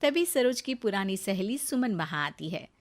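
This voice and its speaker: A woman narrates a moral story from a script. Her voice is mid-pitched, and she tells the tale as a storyteller, linking the scenes between characters. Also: very animated, moderate pace.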